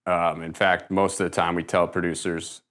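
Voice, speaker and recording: A man being interviewed as an animation writer, answering in a deadpan, evasive and muddled way in a comic interview. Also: deep voice, moderate pace. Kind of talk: deadpan improvised comedy interview.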